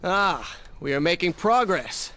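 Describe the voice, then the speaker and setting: An actor playing a young, weary warrior prince with a sarcastic, brooding manner in a video game. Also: male voice, high voice, sing-song, measured pace, background noise. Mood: sardonic. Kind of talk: video game cutscene acting.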